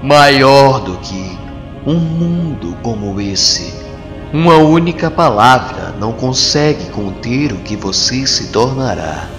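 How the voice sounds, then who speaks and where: A man voicing a calm, manipulative alien philosopher in a motion comic dub, theatrical, deliberate and sermonizing. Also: medium pitch, exaggerated voice. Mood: grandiose. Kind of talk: dramatic motion comic dubbing. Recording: long hall reverb, loud music underneath.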